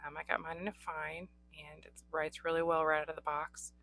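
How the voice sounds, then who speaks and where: A young adult woman with a hoarse voice, hosting a casual pen unboxing with frequent ums. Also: sits low, little pitch movement, fairly quick, fairly bright tone, a little hiss or hum. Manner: chatty, frequent ums, occasional coughs. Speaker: pen reviewer. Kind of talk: unboxing chat. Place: indoors at a desk. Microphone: overhead camera on desk, hands only.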